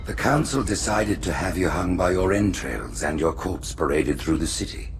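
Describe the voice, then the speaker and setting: Alien high priest: extremely low, gravelly, silky menace, imperious. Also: male voice, lively intonation, normal speed, over music. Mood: menacing. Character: scheming ancient alien high priest, male.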